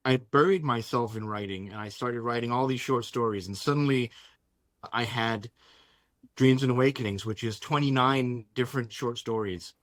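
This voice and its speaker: Danish guy, native danish speaker, chatting casually about his work as an author, mid pitch, really dry recording. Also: moderate pace, a bit bright, no echo. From Denmark. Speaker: author being interviewed. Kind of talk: casual conversation.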